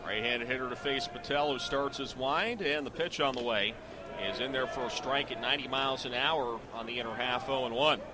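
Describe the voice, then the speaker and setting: A middle-aged man calling live sports on the radio as a play-by-play announcer, in measured, clipped phrases. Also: medium pitch, noisy background.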